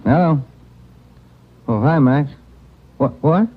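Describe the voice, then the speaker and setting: An actor playing an easygoing, practical police detective, brisk and plain-spoken, in a 1940s radio crime drama. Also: male voice, on the high side. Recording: dull vintage 1940s radio recording.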